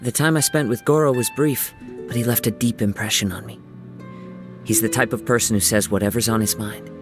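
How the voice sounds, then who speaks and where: A man voicing a gentle young wandering samurai in a video game, soft-spoken and reflective, with lyrical, poetic phrasing. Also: lowish voice, fairly even intonation, fairly quick, lightly acted. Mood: gentle, earnest. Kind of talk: video game character voice lines. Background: music.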